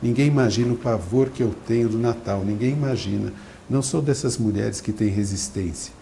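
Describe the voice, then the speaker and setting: A man's voice: lowish voice, a little fast, vocal fry, mellow, slight background noise. A man reading aloud and voicing a frail, anxious mother who complains and frets. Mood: self-pitying. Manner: fretful, self-pitying.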